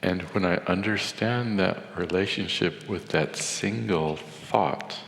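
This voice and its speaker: Man, meditation guide, unhurried slow delivery with pauses, creaky voice, long reverberant hall. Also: deep voice, fairly bright tone. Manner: measured, contemplative, deliberate pauses. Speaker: swami leading guided meditation. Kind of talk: guided meditation with Sanskrit chanting.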